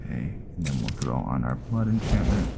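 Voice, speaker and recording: A man's voice: very deep voice, sing-song, a little fast, gravelly, very noisy. A man giving casual, easygoing gameplay commentary, thinking aloud as he plays. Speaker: gamer narrating playthrough.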